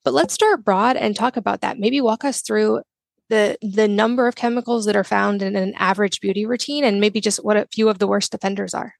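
A woman's voice: medium pitch, fairly even intonation, fairly quick, vocal fry, no room sound, clean studio sound. A woman hosting a health podcast, introducing and interviewing her guest with upbeat enthusiasm. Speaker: podcast host.

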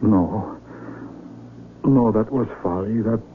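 A worn-out, penitent outsider in a vintage radio drama. His lowish voice is halting and pleading. The sound is narrow and muffled with faint noise. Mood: contrite. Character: weary, starving, contrite male outsider. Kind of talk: 1970s radio drama acting.

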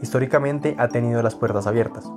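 A man hosting a travel podcast, reading scripted narration in a clear, steady way. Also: mid-range voice, quick pace, music in the background. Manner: clear, steady, read-aloud delivery.

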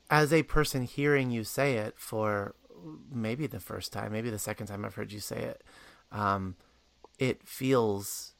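Guy hosting a podcast, earnest, kinda halting, repeats words, dry sound. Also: mid-range voice, creaky, fairly bright tone, no room sound. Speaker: podcast host. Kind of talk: podcast interview.

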